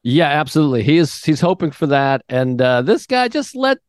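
Comedian guy guesting on a podcast, voice a bit high, dry close audio, just chatting. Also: sing-song, a little fast, smooth, no echo. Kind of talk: casual comedic chat.

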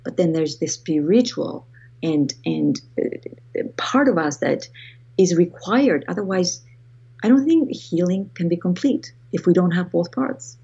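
A woman's voice: lowish voice, animated, unhurried, gravelly, dark tone, slightly rough, dull audio. A woman from Greece with a Greek accent, a guest author speaking reflectively in a podcast interview, often restarting her phrases.

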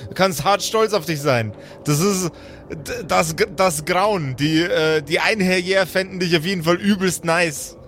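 A man's voice: high-pitched, unhurried, a bit bright, soft background music. A man playing in an improvised tabletop audio play, bantering in casual slang and egging the others on. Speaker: tabletop player.

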